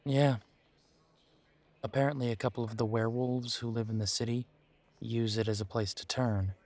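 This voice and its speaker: A man playing a bitter, wry young werewolf in an audio roleplay, talking haltingly and sardonically as if in intimate one-sided conversation. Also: mid-range voice, fairly even intonation, a little mellow, close to an everyday voice. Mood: rueful, bitter. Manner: halting, sardonic, conversational with sighs and repeated words.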